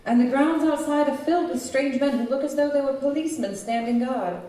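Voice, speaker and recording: A woman's voice: sits high, a little fast, dark tone, close to an everyday voice. A woman playing a devoted, worried young bride in earnest, theatrical old-time radio melodrama. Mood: tender concern. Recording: roomy live hall, distant mic.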